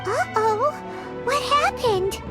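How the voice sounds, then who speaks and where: A woman voicing a small, childlike, excitable fairy companion in a video game, with a squeaky voice. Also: takes it slowly, full character voice. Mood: alarmed, surprised. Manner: exclaiming, speaks of self in third person. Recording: loud music behind the voice. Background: music.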